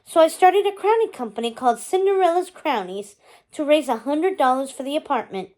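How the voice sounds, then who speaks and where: Lady doing a sweet eager young fairytale girl, bubbly n earnest, high pitched. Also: lively intonation, fairly quick, darkish tone. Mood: eager, proud.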